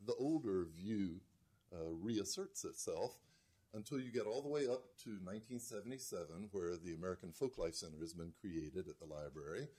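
A man with a low, mellow voice gives a measured, scholarly talk. He comments on recordings between clips and often stops to restart a thought, in the steady way of a lecture. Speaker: lecturer on folk song archives. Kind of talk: talk with played archive clips.

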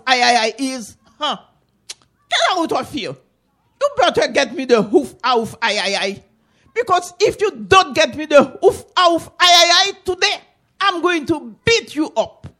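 Older lady doing a shrill bossy auntie, loud and scolding, voice jumps way up high. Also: heavily acted. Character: harsh, bossy woman threatening beatings.

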